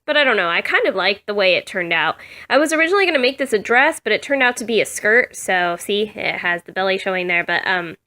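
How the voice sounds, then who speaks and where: Chatty woman with a slight cold-husky voice. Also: mid-range voice, lively intonation, fast talker, bright, forceful tone. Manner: chatty, many ums and self-corrections. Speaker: doll customizer showing her work. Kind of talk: casual show-and-tell vlog. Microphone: phone camera.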